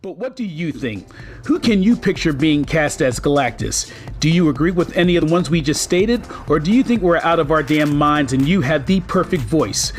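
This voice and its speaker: A man's voice: a little high, expressive, moderate pace, faint music behind. A man hosting a scripted online entertainment video, addressing fellow fans informally and emphatically. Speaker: YouTube host.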